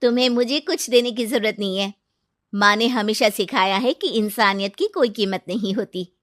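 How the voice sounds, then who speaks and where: A woman's voice: medium pitch, normal speed, a bit bright, no echo, clean studio sound, natural voice. A woman voicing a kind, motherly young woman in a moral cartoon story. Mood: gentle.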